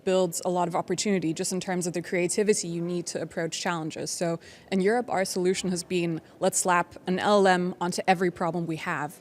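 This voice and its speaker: A woman speaks on a panel in a somewhat low voice. She builds her points carefully and argues them in a calm, measured way. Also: a little hiss or hum. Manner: measured, argumentative. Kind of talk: panel discussion.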